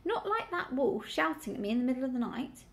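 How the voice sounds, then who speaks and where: A woman voicing a sour, complaining old lame ewe in a storybook, with a hoarse voice and rustic dialect. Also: high voice, sing-song, a little fast. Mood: mollified, grumbling.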